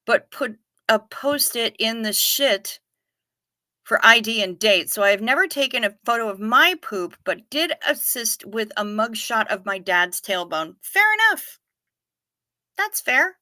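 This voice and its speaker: Woman, fifties, livestream host. Bright, dry close-mic voice, wry and chatty. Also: on the high side, animated, a little slow, no room sound. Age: 50s. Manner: chatty, wry, swears casually. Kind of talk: casual livestream chat reading comments.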